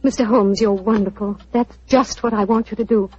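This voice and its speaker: A woman's voice: mid-range voice, steady tone, moderate pace, dark tone, slightly rough, close to an everyday voice. A woman playing an anxious, pleading young woman. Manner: earnest, pleading. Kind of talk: 1940s radio acting. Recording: dull old radio recording.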